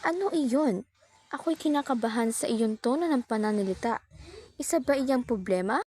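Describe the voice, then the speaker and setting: A woman voicing a gentle young female mountain spirit who asks soft, anxious questions in an amateur radio play. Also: on the high side, lively intonation, moderate pace, slightly rough, pitched above the performer's own voice. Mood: worried.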